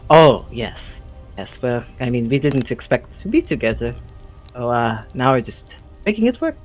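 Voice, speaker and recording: Well-meaning fantasy adventurer, voiced by a man, medium pitch, halting and wry, faint music behind. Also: big pitch swings, natural voice. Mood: teasing. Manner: stammering, wry.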